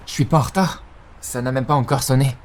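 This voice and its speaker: A man's voice: mid-range voice, fairly even intonation, a little fast, close to an everyday voice. A man voicing a kind, apologetic teenage schoolboy in a French anime fan dub. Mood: placating.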